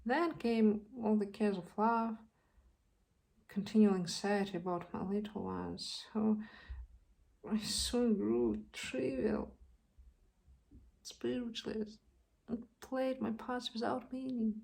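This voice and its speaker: High-voiced, slow, distraught young actress, played by a woman. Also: lively intonation, heavily acted, poor audio. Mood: downhearted, wistful. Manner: halting, repeats words, swings from hushed to loud. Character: distraught, exhausted young actress clinging to faith. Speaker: actress performing a monologue. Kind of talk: theatrical stage monologue.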